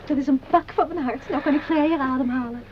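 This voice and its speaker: A woman's voice: sits high, fast talker, natural voice. An actress playing a coquettish, helpless young lady with a coy, fluttery manner in a muffled radio play with faint noise. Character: coquettish, helpless-acting young lady seeking a man's help. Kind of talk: Dutch radio play acting.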